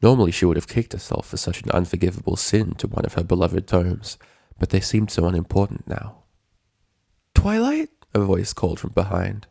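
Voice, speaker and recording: A man reading a scripted story as narrator, in a measured, dramatic storytelling manner. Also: low voice, steady tone, gravelly, warm tone, no echo, clean studio sound.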